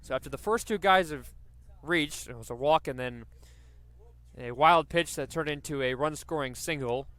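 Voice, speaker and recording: A man's voice: fairly high pitch, normal speed, a bit bright, no echo. A man doing live radio play-by-play commentary of a baseball game.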